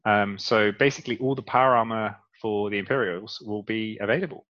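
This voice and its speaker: A man's voice: on the low side, a little fast, fairly bright tone, lo-fi sound. A man co-hosting a casual podcast, talking with many ums as he explains game lore at length. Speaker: podcast co-host.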